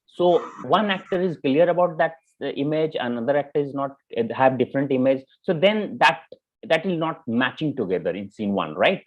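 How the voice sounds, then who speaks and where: A man with an Indian accent giving informal spoken feedback as a mentor, halting and repeating himself, often checking in with "you know". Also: mid-range voice. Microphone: video call. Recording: muffled, band-limited online call audio.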